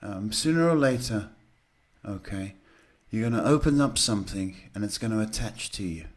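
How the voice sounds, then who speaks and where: A man with a fairly low voice gives live psychic advice. He talks casually and directly to the listener, pausing to search for words and often seeking agreement. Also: expressive. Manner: halting, repeats "okay" as a check. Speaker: psychic host giving live readings. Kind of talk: live advice to callers, casual spiritual talk.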